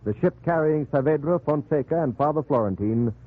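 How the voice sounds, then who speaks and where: A man's voice: on the high side, expressive, a little fast, narrow, tinny audio. A man narrating a 1940s radio drama in a formal, sweeping storytelling style. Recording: thin, noisy 1940s radio recording.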